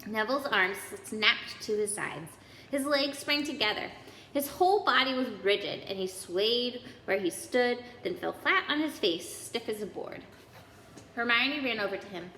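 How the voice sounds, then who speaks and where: A woman narrates a children's story expressively, reading aloud in a fairly high, bright voice. The recording is echoey, with some background noise. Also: animated, measured pace.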